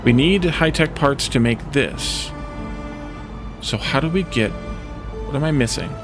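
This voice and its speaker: A man's voice: mid-range voice, animated, slow. A man giving casual gameplay commentary, thinking aloud and musing his way through problems as a let's play host. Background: background music.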